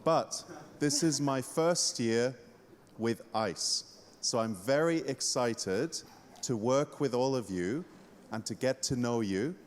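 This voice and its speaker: A man's voice: medium pitch, slow, a little hiss or hum. A man from Austria, a university lecturer, giving a friendly, joking welcome speech. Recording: hall reverb.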